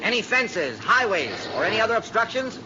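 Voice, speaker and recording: An American actor playing a brisk, insistent insurance man who flies planes, urgent and persuasive, in vintage radio drama style. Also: high-pitched, very animated. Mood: brisk, instructing. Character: brisk, insistent male insurance adjuster and pilot. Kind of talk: 1940s-50s radio drama acting. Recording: muffled, noisy old radio recording.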